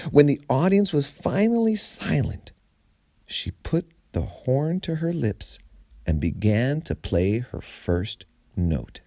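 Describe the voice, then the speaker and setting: Slow, animated male storyteller. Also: medium pitch, dry recording. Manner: theatrical, deliberate phrasing. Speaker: storyteller reading aloud. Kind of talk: expressive read-aloud of a humorous story.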